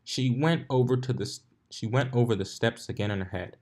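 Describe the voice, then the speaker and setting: A man's voice: medium pitch, brisk, a little mellow. A man reading fan fiction aloud as narrator, in an amateur style with occasional stumbles over the words. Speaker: fanfiction narrator.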